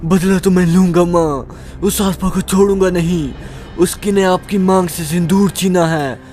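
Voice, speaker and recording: Guy doing a naive determined 18 yr old hero, high voice, dramatic delivery, soft music behind. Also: fairly even intonation, moderate pace, lightly acted. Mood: vengeful. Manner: dramatic, emphatic. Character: eighteen-year-old son, naive, determined, vengeful hero. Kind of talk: melodramatic story acting.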